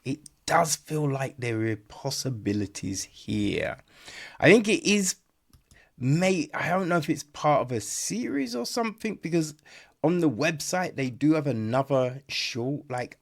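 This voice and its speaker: A man's voice: mid-range voice, expressive, measured pace, creaky. A man giving a casual, unscripted film review as a podcast host, rambling and repeating himself, with frequent check-in tags and informal, chummy phrasing. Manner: rambling, repetitive, peppered with "right?" and "you know"; informal, chummy address, mild swearing.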